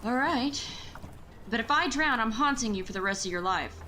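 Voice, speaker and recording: A woman's voice: fairly high pitch, expressive, moderate pace, sharp tone, slight background noise. A woman playing a grumbling, teasing young Victorian factory girl in an audio drama, with a wry manner. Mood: wry, reluctant. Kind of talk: fan audio drama acting.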